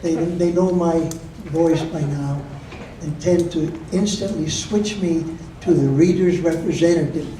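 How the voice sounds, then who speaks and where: An older man from Michigan, speaking to a club audience as a guest speaker, slow and measured with frequent pauses and fillers. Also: fairly high pitch, mellow, a little hiss or hum. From Michigan, USA. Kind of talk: informal talk to a club audience. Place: meeting room. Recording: roomy echo, heard from a distance.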